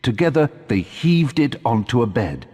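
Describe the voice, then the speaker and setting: A man's voice: medium pitch, big pitch swings, normal speed, a little mellow, long reverb tail. A man narrating a scripted story for a video game as its storyteller.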